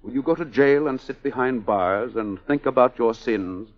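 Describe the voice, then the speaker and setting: An actor playing a suave, obsessed male surgeon in a 1940s radio drama, speaking in a deliberate, commanding way. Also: medium pitch, close to an everyday voice. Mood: intense, grave. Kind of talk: 1940s radio melodrama acting. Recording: muffled old 1940s radio recording.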